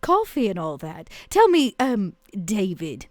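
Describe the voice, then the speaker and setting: A woman's voice: medium pitch, wildly swooping pitch, measured pace, darkish tone, no room sound, studio quality. A woman playing a coy, flirtatious female vampire who teases, in a tabletop game. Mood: flirtatious, teasing. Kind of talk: in-character tabletop roleplay.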